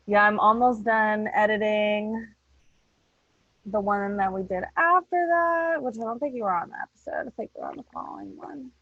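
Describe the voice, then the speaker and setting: A woman's voice: medium pitch, very animated, a little slow, pauses often, no echo. A woman podcast host chatting casually and playfully with swearing, on slightly dull online-call audio. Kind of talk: casual chat.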